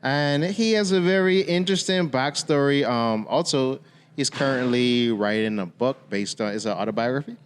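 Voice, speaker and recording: A man's voice: medium pitch, normal speed. A man hosts an interview, chatty and casual as he asks questions. His voice sits in a long-reverb room with a faint noise floor underneath. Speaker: host.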